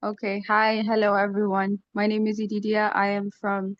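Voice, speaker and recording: A woman from Ethiopia introducing herself as a club member, brisk and excited to join. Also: medium pitch, no room sound, dull audio.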